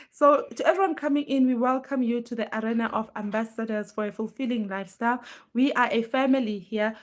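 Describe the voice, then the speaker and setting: A woman with a fairly high voice hosts a casual live chat, her throat hoarse and strained from being sick. She pushes her voice out as she talks earnestly and greets viewers by name. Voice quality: normally very loud voice, pushing it now. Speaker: live stream host.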